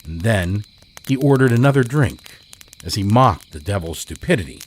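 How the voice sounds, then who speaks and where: A man hosting a horror fiction podcast and reading its stories in a measured, dramatic way. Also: a little hiss or hum. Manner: measured, dramatic storytelling with lively pitch. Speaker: podcast host and narrator. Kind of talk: scripted horror narration.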